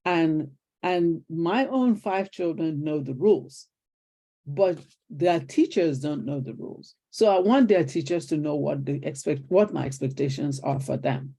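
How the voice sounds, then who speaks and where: Older Nigerian woman, gravelly and mellow. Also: low voice, unhurried. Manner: animated storyteller acting out quoted exchanges. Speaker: guest, retired teacher. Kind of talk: conversational interview storytelling.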